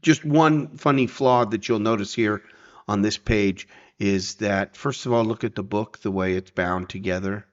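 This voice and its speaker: A man presenting a casual software tutorial, explaining informally and checking in as he goes. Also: lowish voice, measured pace, lo-fi sound.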